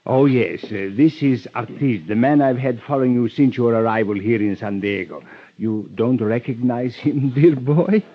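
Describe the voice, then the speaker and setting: An actor playing a suave, over-polite crime boss in old radio drama, florid and smugly menacing. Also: male voice, medium pitch, moderate pace, close to an everyday voice. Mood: smug, courteous. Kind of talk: 1950s radio drama acting. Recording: dull old radio recording.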